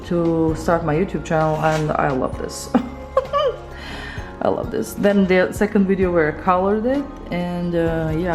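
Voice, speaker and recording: Woman in her thirties, Serbian native speaker, low voice, hesitant musing vlog delivery, roomy studio sound. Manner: reflective, hesitant, many fillers, laughs softly. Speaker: illustrator vlogger. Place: studio room indoors.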